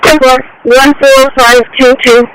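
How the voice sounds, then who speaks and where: A man with a high-pitched voice gives terse replies over police radio. The scanner audio carries faint noise and clicks. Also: level tone, unhurried. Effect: two-way radio.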